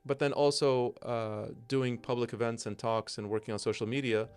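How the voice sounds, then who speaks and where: A man's voice: mid-range voice, fairly even intonation, normal speed, a little mellow, smooth, soft background music. A middle-aged man with an American accent, a psychiatrist explaining his career as a talk show guest in an articulate, flowing way. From United States.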